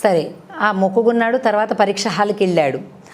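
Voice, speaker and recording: A woman's voice: medium pitch, brisk. A woman gives a devotional discourse with moral storytelling, teaching conversationally and putting rhetorical questions to her listeners. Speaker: spiritual speaker and storyteller.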